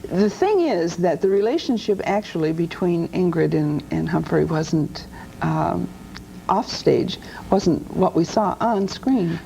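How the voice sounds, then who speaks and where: Lady talk show host, soft mellow tone, chatting casually, faint noise behind. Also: lowish voice, animated, a little slow, creaky, low-quality recording. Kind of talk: casual interview chat.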